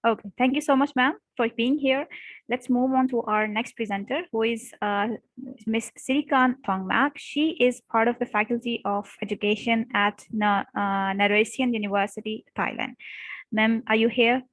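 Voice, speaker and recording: A woman's voice: a little high, steady tone, normal speed, a bit bright, no room sound, poor audio. A woman moderating an academic conference session, courteous and formal as she thanks and introduces speakers.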